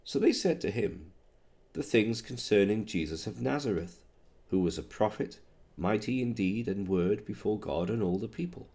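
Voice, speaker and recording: Male pastor, hoarse from a cold, lowish dark voice, halting delivery with fillers, reads scripture slowly. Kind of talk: sermon with scripture reading.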